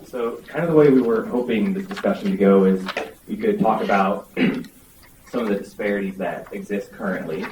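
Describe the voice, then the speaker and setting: A man's voice: low-pitched, a little hiss or hum. A man moderating a conference panel, speaking in a polite, orderly way. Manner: polite and orderly, sets out the agenda. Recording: echoey room from a distant mic.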